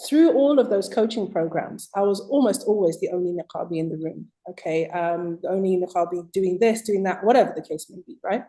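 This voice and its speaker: A woman's voice: fairly low pitch, a little fast, few pauses, warm tone, rough recording. A woman with a British accent, raised in Zimbabwe, telling her story as a guest in an interview, reflective and emphatic at key points. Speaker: guest author.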